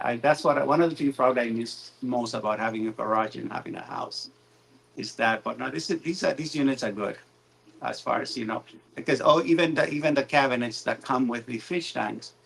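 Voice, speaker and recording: A man's voice: medium pitch, lively intonation, brisk, gravelly, fairly bright tone, a little hiss or hum, poor audio. A man joining a casual live stream as a guest, telling stories in a chatty, meandering way with fillers. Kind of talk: casual storytelling chat.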